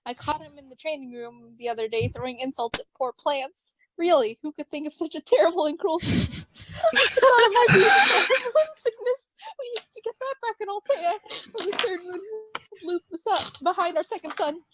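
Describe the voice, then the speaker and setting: A woman's voice: very high voice, animated, moderate pace, pitched above the performer's own voice. A woman voicing an earnest, chatty alien man in a fan fiction drama, rambling in an awkwardly upbeat way. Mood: gossipy, indignant.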